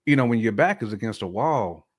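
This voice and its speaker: This is an American man with a fairly deep voice. He talks in a colloquial, conversational podcast style. His delivery is emphatic, with regular check-ins to make sure he is followed. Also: lively intonation, a little fast. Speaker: podcast guest or co-host discussing relationships. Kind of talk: casual conversational podcast talk.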